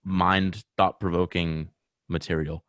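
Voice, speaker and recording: A man's voice: low-pitched, level tone, takes it slowly, fairly bright tone, no room sound, studio quality. A young man with a Rhode Island accent, hosting a casual podcast chat and explaining his process in long rambling runs. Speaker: podcast host.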